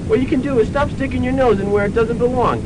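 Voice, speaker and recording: Casting: man as cheeky, threatening goon for radio play, very high-pitched, very noisy recording. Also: quick pace. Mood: mock-polite menace. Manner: taunting, menacing. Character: brazen male hired thug, menacing and mock-polite. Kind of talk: student radio drama acting.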